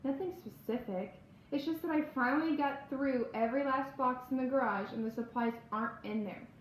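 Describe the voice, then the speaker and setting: A woman voicing a weary but sweet, indulgent mother in a storybook, gentle and lilting. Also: high voice, normal speed, lightly acted. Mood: weary, puzzled. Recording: roomy echo, faint background noise.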